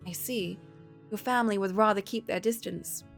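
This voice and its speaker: A woman's voice: mid-range voice, moderate pace, lightly acted. A woman voicing a curious, formally spoken young woman in a visual novel. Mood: polite, concerned. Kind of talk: visual novel character reading. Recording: background music.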